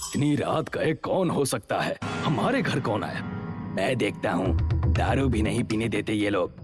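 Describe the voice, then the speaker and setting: A man's voice: fairly low pitch, animated, a little slow, over music. A man voicing a cruel, scheming uncle, a thuggish villain in a horror story. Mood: grumbling, suspicious. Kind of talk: horror storybook character acting.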